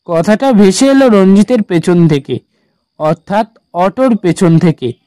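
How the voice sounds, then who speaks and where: A man narrating a scripted horror story in a measured, suspenseful manner. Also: high-pitched, animated, mellow, no echo.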